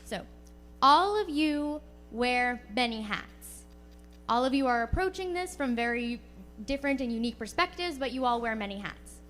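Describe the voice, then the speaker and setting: A woman's voice: fairly high pitch, expressive, moderate pace, fairly bright tone, a little hiss or hum. A woman moderating a panel discussion, playful and upbeat, joking with the audience as she sets up questions.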